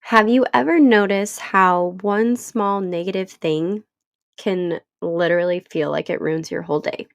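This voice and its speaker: Woman podcast host, thirties, stuffy from a cold, slow and earnest, dry close mic. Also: lowish voice, steady tone, creaky, no room sound. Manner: earnest, encouraging, unhurried. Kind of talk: solo podcast talk.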